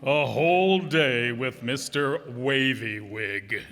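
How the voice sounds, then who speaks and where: A man's voice: mid-range voice, takes it slowly, a bit bright. A man playing an exasperated, sarcastic businessman husband in a radio comedy re-creation. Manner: sarcastic, grumbling. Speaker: radio player. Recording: reverberant hall, live stage performance.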